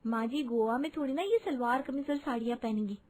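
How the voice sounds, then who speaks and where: A confident young daughter-in-law acted by a woman in a cartoon family drama. She has a high voice and a modern, outspoken temper. She talks to relatives frankly and with assurance. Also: a little fast, darkish tone, lightly acted. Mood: cheerful eager. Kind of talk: Hindi moral-story cartoon voice acting.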